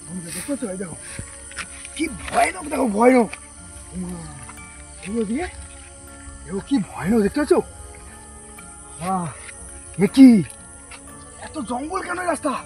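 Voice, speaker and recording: A man hosting a ghost-hunting vlog outdoors in the woods at night, jittery and excitable, repeating words. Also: very high voice, normal speed, long pauses, soft background music. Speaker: vlogger host.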